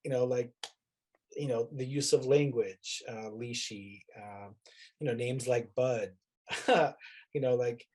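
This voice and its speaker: An American man, a university teacher, reflecting informally on a panel with halting phrases that trail off. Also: medium pitch, steady tone, darkish tone.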